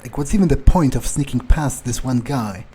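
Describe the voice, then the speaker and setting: A man playing a haughty, irritable fledgling vampire in an in-character video game playthrough, grumbling in a halting, self-important way. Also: mid-range voice, lively intonation, mellow, slightly rough. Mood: suspicious, annoyed. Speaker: gamer roleplaying his character. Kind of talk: in-character let's play monologue.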